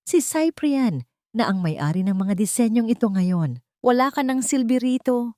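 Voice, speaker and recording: A woman's voice: a little high, animated, a little slow, warm tone, no echo, studio quality, voice pushed up. A woman voicing a cruel, smug mistress in a drama story, cutting and dismissive. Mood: cold scorn. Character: scornful, cruel mistress, smug female villain.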